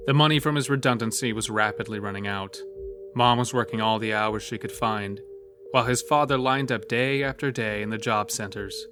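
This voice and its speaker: A man narrating a scripted horror story as the storyteller of an audio drama. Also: on the low side. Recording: music bed under the voice. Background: loud music.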